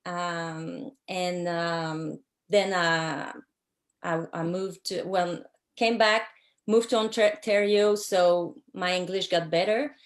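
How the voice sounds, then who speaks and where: A woman's voice: on the low side, steady tone, takes it slowly. Quebec french-accented woman, middle-aged, lots of ums, talking as a guest. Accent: Québécois French. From Quebec City, Canada. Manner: hesitant, many ums and restarts. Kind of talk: casual interview chat.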